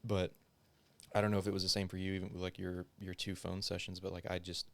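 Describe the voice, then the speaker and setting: A man's voice: low voice, flat delivery, fast, creaky. A young man chatting casually as a podcast guest, rambling and profane, with lots of "like" fillers.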